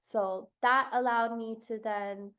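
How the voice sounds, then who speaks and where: A woman from New York City sharing her experience as a graduate student panelist, earnest and steady, with many fillers. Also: on the high side, takes it slowly. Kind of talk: panel answer on a video call. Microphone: video call.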